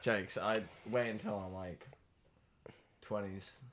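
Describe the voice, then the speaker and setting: A man's voice: on the low side, fairly even intonation, takes it slowly, a loud crowd. A teenage boy chatting on a podcast, joking and playing mock-offended. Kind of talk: casual chat.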